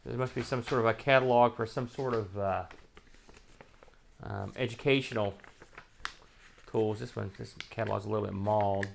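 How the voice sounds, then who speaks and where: A man's voice: lowish voice, steady tone, normal speed, vocal fry, pauses often, slight background noise. An American man hosting a casual unboxing, rambling and thinking aloud as he handles each item. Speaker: host of a retro computing show.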